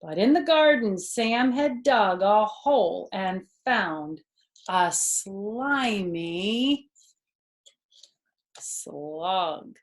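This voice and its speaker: A middle-aged woman reads a picture book to young children at a very slow, deliberate pace. Her voice sits at a medium pitch, and she speaks simply, in the manner of storytime with chatty asides. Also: lively intonation. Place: small furnished living room, indoors.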